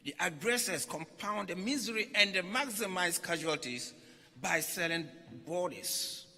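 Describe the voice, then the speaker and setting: Man, very sharp bright voice, formal read speech, emphatic short phrases, long hall reverb. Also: fairly high pitch, slightly rough. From Ghana. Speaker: religious leader reading a message at a conference.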